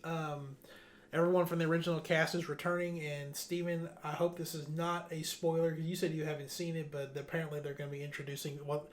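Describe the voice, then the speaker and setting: A man with a fairly high voice, recorded in a noticeably echoey room. He hosts casually, reading out entertainment news and chatting between items as on a podcast. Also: level tone, a little fast. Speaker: host of a video game news podcast. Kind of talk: casual news rundown and chat.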